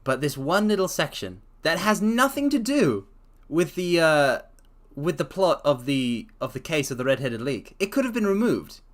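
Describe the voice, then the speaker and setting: A man streaming a dramatic reading of a story, breaking off to chat excitedly, often stammering and restarting his sentences. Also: fairly high pitch, normal speed, few pauses.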